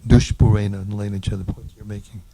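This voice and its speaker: A man explains a point during a group discussion. His voice is dark and gravelly with little movement in pitch. It sounds somewhat distant on the recording. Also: level tone, quick pace. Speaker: participant quoting scripture in a discussion.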